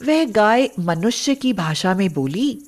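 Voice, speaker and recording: A woman's voice: medium pitch, very animated, takes it slowly. A woman reading scripted narration for moral bedtime stories as the storyteller.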